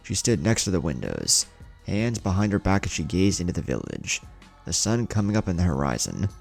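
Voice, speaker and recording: A man's voice: sits low, huge pitch swings, fairly quick, creaky, faint music behind. A man narrating fan fiction in audiobook style as a channel host.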